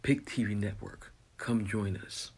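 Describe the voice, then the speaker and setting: Slow, husky male promo announcer. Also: lowish voice, a little mellow. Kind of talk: network promo voice-over.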